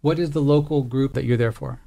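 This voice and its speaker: A male interviewer with a mid-pitched voice and little melodic movement. He phrases his questions formally, in a measured, articulate manner. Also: steady tone, vocal fry, dark tone.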